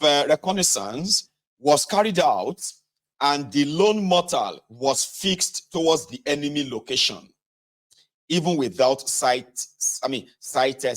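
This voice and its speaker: A man with a Nigerian accent reading a book aloud and adding conversational asides, speaking directly to listeners and sometimes correcting himself. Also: mid-range voice. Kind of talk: read-aloud narration with casual asides.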